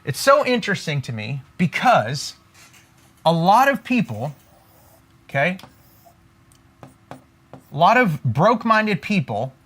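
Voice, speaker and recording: A man's voice: high voice, big pitch swings, takes it slowly, long pauses, fairly bright tone, slightly rough. A man giving a motivational business training at a whiteboard, emphatic and talking straight to his viewers, often checking in with "right?" and "okay?". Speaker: business coach. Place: room with a whiteboard.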